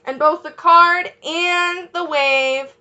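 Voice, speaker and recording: A woman reading a picture book aloud as a children's teacher, encouraging young listeners with questions and pausing for their answers. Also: extremely high pitch, fairly even intonation, sharp tone.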